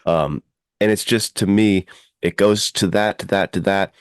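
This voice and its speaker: A man hosting a podcast, discussing films casually and in an animated way. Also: lowish voice, a little slow, clean tone, no echo, clean studio sound. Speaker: podcast host.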